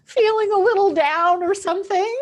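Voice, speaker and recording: A woman's voice: very high voice, normal speed. A woman interviewer talking casually and asking questions in an informal, curious way.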